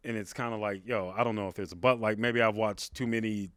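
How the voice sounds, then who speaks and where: A man with a big voice, a podcast guest, telling a personal story in a casual, halting, conversational way. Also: lowish voice, steady tone, fairly bright tone, smooth, no room sound. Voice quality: big, carrying voice. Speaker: podcast guest, stand-up comedian.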